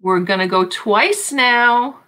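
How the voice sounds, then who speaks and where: A woman's voice: fairly high pitch, slow pace, sharp tone. Casual New York woman hosting a live record auction from home, rambling and friendly in tone. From Long Island, New York. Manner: chatty, thinking aloud while reading album titles. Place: small home room full of shelves.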